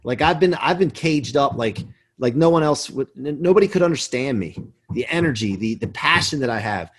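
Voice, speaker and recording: A man's voice: medium pitch, lively intonation, brisk, husky. A man in his forties speaking emphatically and motivationally as an interview guest, with a driven manner, repeating words for stress. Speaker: podcast guest, performance coach and business manager.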